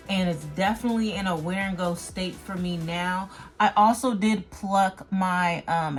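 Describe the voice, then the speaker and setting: A woman giving a casual review to viewers as a beauty vlogger, talking emphatically and often doubling her intensifiers. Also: medium pitch. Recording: music playing behind the voice. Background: background music.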